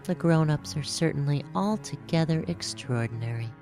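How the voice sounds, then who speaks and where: A woman's voice: low-pitched, lively intonation, moderate pace, a little mellow, soft background music. A woman playing a curious, earnest, innocent young boy full of questions and wonder in a radio drama. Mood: bemused.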